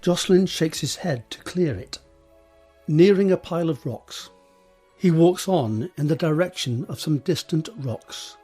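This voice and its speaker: A man reading scripted scene directions as a narrator, measured and descriptive, with deliberate pauses. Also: on the high side, animated, dark tone, husky, faint music behind.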